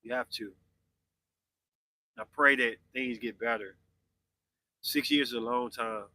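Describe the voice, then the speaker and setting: A man's voice: medium pitch, steady tone, measured pace, bright, forceful tone. A man in his forties hosting a podcast, chatting casually with live viewers and answering their comments. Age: 40s. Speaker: podcast host.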